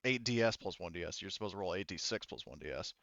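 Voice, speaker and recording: A man's voice: deep voice, animated, quick pace, vocal fry, fairly bright tone, no room sound, lo-fi sound. A man casually refereeing and narrating a tabletop roleplaying game as its game master.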